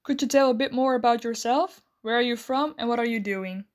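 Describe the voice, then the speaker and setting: A woman host giving a scripted, measured explanation and then asking interview questions. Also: on the high side.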